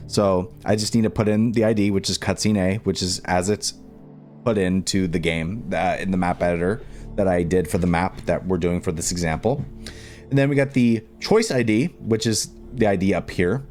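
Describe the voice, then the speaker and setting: A man explaining steps in a casual coding tutorial, haltingly thinking aloud as he goes. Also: lowish voice, darkish tone. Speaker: tutorial host.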